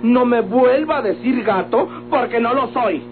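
An actor playing a meddling, timid and devoted Mexican manservant, prim and whiny, in old radionovela style. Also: male voice, extremely high pitch, noisy background. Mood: pleading, indignant. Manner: whiny, effusive, prim, drawn-out pleading. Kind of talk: golden-age Mexican radionovela comic acting. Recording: old tinny radio recording with music.

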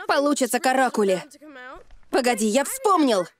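A woman's voice: sits high, big pitch swings, normal speed, soft background music. A woman voicing an anxious, easily discouraged young schoolboy with a plaintive manner in a cartoon dub. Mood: doubtful.

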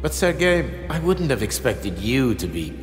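Grandiose scientist boss in an echoing hall. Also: male voice, medium pitch, very animated, soft background music. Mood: condescending, disappointed. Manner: measured, condescending, theatrical. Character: grandiose, coldly paternal male scientist boss. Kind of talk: video game cutscene acting.

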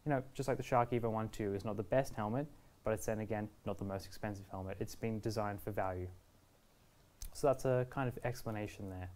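A man's voice: on the low side, fairly quick, a little mellow. Easygoing young man giving a tutorial. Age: 20s. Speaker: product reviewer and presenter. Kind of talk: casual step-by-step tutorial. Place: indoor shop video studio, standing at a table.

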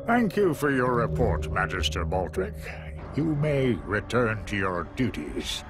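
A man's voice: lowish voice, very animated, a little slow. A man playing a lofty old ruler-priest, cold, pious and pompous, in a video game cutscene. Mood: imperious. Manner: pompous, formal. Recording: clear background music.

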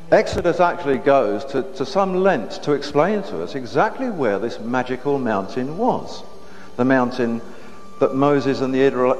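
A middle-aged man giving a public lecture on a lapel mic, talking in a measured way with frequent restarts and repeated words. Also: medium pitch. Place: stage with curtain backdrop, indoors. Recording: dull lo-fi hall recording with faint hiss.

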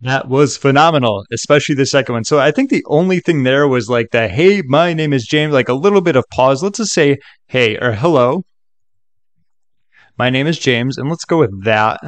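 Guy doing a chatty upbeat screen tutorial, normal pitch, really dry close sound. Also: lively intonation, moderate pace, no room sound. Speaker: software tutorial presenter. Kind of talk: casual screen-recording walkthrough.

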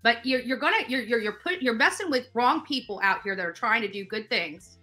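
A woman's voice: on the high side, lively intonation, fairly quick, pushed and bright, faint music behind. A middle-aged woman delivering a confrontational, indignant rant at home, repeating words for emphasis. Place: living room, on a couch.

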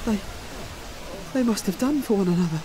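A man's voice: high voice, animated, moderate pace, mellow, a little hiss or hum. Earnest boy apprentice. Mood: anxious. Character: earnest, anxious young male apprentice wizard. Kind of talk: British radio drama acting.

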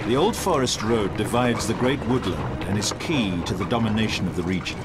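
A man's voice: fairly low pitch, animated, a little fast. A man narrating a fantasy game cutscene in a solemn, portentous storytelling style. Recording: loud orchestral music over the voice. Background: loud music.

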